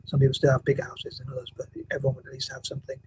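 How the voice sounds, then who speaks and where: A quiet-voiced man rambles on in a flat, unchanging monotone, words tumbling out quickly between hesitations and restarts. He often drops to a near whisper. A faint hum of room ambience sits behind him. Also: mid-range voice. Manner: hesitant, mumbling, many restarts and fillers. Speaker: vlogger. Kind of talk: rambling casual vlog monologue. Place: home room, building work next door.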